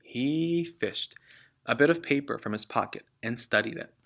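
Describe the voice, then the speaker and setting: A man reading a children's book aloud like a teacher, expressively and with deliberate emphasis on key words. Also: mid-range voice, animated, gravelly, fairly bright tone.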